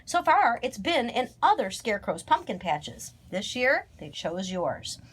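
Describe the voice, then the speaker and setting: A woman's voice: a little high, big pitch swings, unhurried, slightly rough, full character voice. A woman voicing a resigned, folksy scarecrow father in a children's storybook, speaking dolefully to his child. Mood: matter-of-fact.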